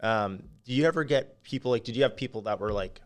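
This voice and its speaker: A male podcast host with a mid-range voice chats casually with his guest. He asks questions in a halting way, restarting and filling pauses as he goes. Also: mid-range voice, clear, resonant tone. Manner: hesitant questions, many fillers. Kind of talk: casual interview chat.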